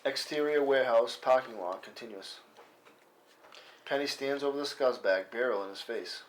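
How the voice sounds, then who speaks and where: A man narrates screenplay directions at a live table read. His pitch is average, and the recording has faint noise with clicks. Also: normal speed. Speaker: stage-direction reader.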